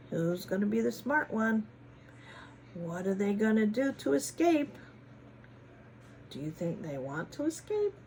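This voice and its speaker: Lady reading a kids story slowly, teacher style w little asides and questions, noisy background. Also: mid-range voice, darkish tone. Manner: teacherly, asking listeners questions, dramatic emphasis. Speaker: children's story reader, former teacher. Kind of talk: animated picture-book read-aloud with chatty asides to children.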